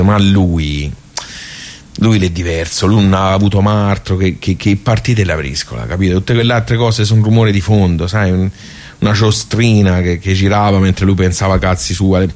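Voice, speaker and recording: A man's voice: low-pitched, darkish tone, natural voice. A man playing a wry, nostalgic regular of a workers' club in a radio monologue, colloquial and rambling, with a Tuscan accent. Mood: wistful, rueful. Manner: rambling, colloquial, self-interrupting. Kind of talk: radio theatre monologue, conversational.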